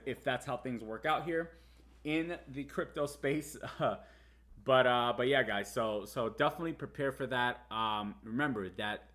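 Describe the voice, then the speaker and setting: A man's voice: mid-range voice, fairly bright tone. A man from the United States whose first language was Spanish, casually talking viewers through crypto markets on a livestream, with many fillers and restarts. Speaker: livestream host. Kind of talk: casual live market commentary.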